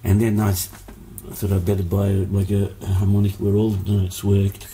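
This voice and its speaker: Man in his sixties, very dark tone, flat delivery, rambling musician guest. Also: low voice, a little fast. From Hungary. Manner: rambling, lots of fillers and restarts. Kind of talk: casual interview, storytelling.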